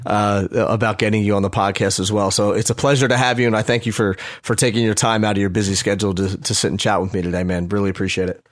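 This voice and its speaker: A man's voice: fairly low pitch, flat delivery, quick pace, hardly any pauses. A man hosting a podcast, talking with an eager, effusive enthusiasm. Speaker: podcast host. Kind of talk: casual interview conversation.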